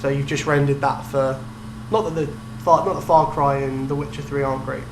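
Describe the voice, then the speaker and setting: A man's voice: mid-range voice, a little fast. A young man co-hosting a podcast, chatting casually about video games. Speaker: podcast co-host. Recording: roomy echo, steady background noise and hum.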